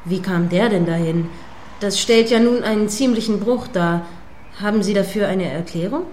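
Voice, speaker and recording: A woman acts out a female radio news presenter in a mock live broadcast. Her voice sits at a medium pitch. She is earnest and prim, setting out long, formal sentences in a measured, correcting manner. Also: a little mellow, a little hiss or hum, lightly acted. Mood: inquiring. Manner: formal, measured, wordy phrasing.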